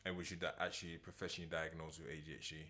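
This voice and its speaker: A deep-voiced man hosts a podcast interview. He keeps it easygoing and prompts his guest with short, simple questions. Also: level tone, rapid-fire, sharp tone. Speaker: podcast host.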